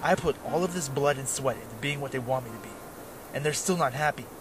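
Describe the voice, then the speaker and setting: A man acts out a world-weary werewolf knight who has become a drifter. He broods and doubts himself in a middle-pitched voice. It is fantasy audio drama, with music plainly underneath. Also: fast talker, lightly acted. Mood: frustrated.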